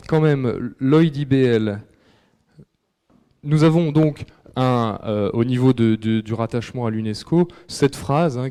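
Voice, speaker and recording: Brief: man as an officious, stumbling male official, mellow voice, echoey hall. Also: mid-range voice, close to an everyday voice. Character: bumbling male official of a global library body. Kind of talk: live comic sketch. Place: large hall at a library event.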